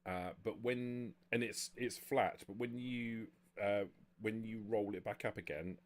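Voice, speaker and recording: A man's voice: on the low side, fairly even intonation, a little slow. A man acting as game master, improvising narration and rulings with a hesitant, self-correcting delivery.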